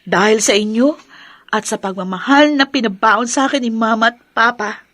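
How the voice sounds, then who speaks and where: An actress playing a grateful, loving young adopted daughter in a Filipino radio drama, speaking tenderly and sincerely. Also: female voice, on the high side, normal speed, fairly bright tone, dry recording, clean studio sound, natural voice. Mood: heartfelt. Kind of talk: Tagalog radio drama acting.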